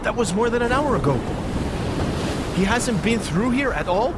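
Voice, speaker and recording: A man's voice: extremely high pitch, lively intonation, normal speed. An actor playing a loyal, worried sailor, who speaks respectfully to his captain in a radio drama. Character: loyal male sailor, anxious, deferential. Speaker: ship's mate. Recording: loud rain and storm noise.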